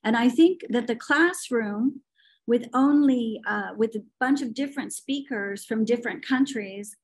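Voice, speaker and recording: Middle-aged woman, fairly high voice, opinionated, anecdotal, podcast chat. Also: measured pace. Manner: opinionated, storytelling, frequent fillers. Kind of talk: podcast conversation.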